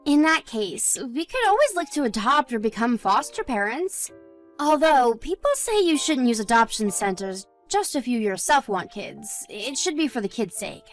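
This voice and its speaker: A woman playing a gentle, modest young wife in a scripted audio story, talking in a reassuring, explanatory way. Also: a little high, sing-song, moderate pace, faint music behind.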